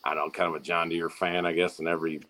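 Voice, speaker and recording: A man with a very low voice talks in an easy, unhurried way, trading dry jokes in casual chat. He sounds like a guest telling a host about driving his pulling tractor. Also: little pitch movement, sharp tone. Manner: laid-back, dry humor.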